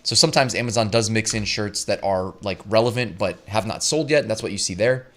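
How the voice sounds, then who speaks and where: Slightly hoarse chatty male host. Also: on the low side, fairly quick. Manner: chatty, laughs often, frequent asides. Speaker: print-on-demand show host. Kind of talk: casual talking-head business tips.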